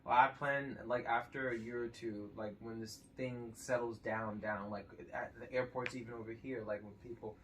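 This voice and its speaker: A man's voice: mid-range voice, fairly even intonation, normal speed, hardly any pauses, a bit bright, slight background noise, poor audio. A young man from Haiti, a podcast guest, chatting casually with many fillers and restarts and easy laughter. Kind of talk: casual chat.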